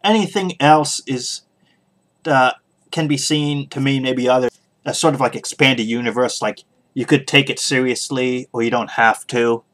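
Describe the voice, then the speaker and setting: A man hosting a podcast, giving casual solo opinions in a halting way, pausing often as he thinks aloud. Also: mid-range voice, a bit bright. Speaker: podcast host.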